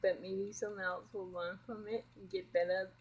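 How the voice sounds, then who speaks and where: A middle-aged woman talks through an emotional personal confession, rambling and upset. Her voice is husky and breathy at an ordinary middle pitch. She keeps it hushed at home at night so she won't wake anyone. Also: level tone, moderate pace, muffled recording. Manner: upset, rambling, keeping quiet so as not to wake others. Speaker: vlogger.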